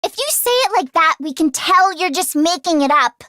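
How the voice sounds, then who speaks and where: An excitable teenage girl inventor from an anime-style video game. Her voice is very high and sharply bright, with a close, dry sound. She is earnest and expressive, easily flustered. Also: moderate pace, no echo, exaggerated voice. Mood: surprised, brisk. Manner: expressive, swinging from deadpan grumbling to frantic outbursts.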